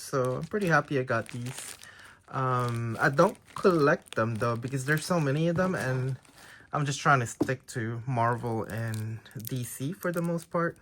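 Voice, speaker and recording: A man whose first language is Tagalog leafs through his trading cards in a relaxed, unhurried way. He sounds tentative, often unsure of what he has. Faint crinkling of card packs sits behind his voice. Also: mid-range voice, a little hiss or hum. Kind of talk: casual show-and-tell of a card haul.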